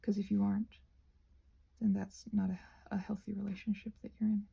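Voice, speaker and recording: Soft, breathy, creaky-voiced rambling woman. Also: mid-range voice, little pitch movement, a little fast, muffled recording. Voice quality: soft, breathy, often dropping to a whisper. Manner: musing aloud, gently reassuring, repeats phrases. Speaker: host of a craft and ASMR ramble channel. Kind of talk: casual rambling ASMR chat while crafting.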